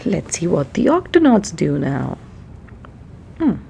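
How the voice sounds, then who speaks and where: A woman's voice: sits low, sing-song, takes it slowly, creaky, dark tone, slight background noise. A woman reading a children's story aloud, chatting and putting questions to young listeners as she explains.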